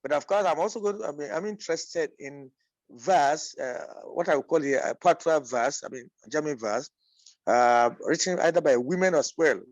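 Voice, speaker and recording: A man from Nigeria puts a question to the speaker in a fairly high voice. He halts often, with many uhs. The sound is dry and close. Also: normal speed, dry recording, highs cut off. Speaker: audience member asking a question.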